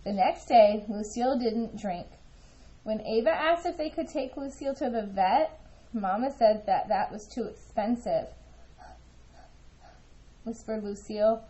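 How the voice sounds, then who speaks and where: A woman narrating a children's picture book aloud in an expressive storytelling style. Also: on the high side, measured pace, long pauses, dull audio. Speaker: storybook reader.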